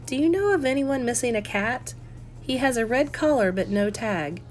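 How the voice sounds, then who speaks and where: A woman's voice: fairly high pitch, lively intonation, moderate pace, soft background music, pitched above the performer's own voice, close to an everyday voice. A woman reading a story, voicing an independent grown daughter who runs an inn and speaks firmly and earnestly. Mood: polite. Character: grown daughter, independent, exasperated yet polite innkeeper.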